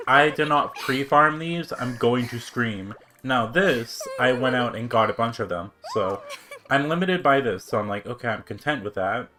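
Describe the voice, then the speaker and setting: A man's voice: mid-range voice, bright, forceful tone. A man livestreaming a game with casual, slangy, chatty commentary.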